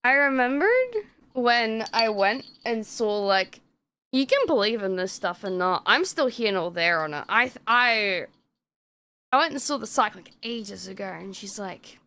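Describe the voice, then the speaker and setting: A woman's voice: fairly high pitch, very animated, measured pace, pushed and bright, a little hiss or hum. A young woman streamer chatting casually with viewers, swearing freely and laughing often. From Australia.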